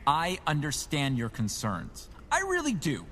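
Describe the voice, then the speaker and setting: An actor playing an earnest, politely officious company assistant in a radio drama, a little fussy. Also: male voice, a little high, wildly swooping pitch, unhurried, a bit bright, a little hiss or hum, exaggerated voice. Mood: earnest, reassuring.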